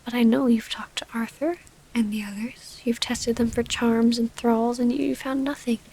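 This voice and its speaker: A woman playing a gentle, formal vampire noblewoman and wounded lover in an intimate ASMR roleplay, speaking in a soft, breathy half-whisper. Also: on the high side, fairly even intonation, moderate pace, poor audio. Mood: hurt, confronting.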